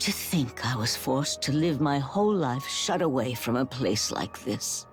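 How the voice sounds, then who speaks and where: An actress playing a frail, dying young woman in a video game, resigned and stubborn, with wistful, halting delivery. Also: a bass voice, expressive, soft background music, heavily acted. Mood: wistful, bitter. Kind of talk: dramatic video game cutscene acting.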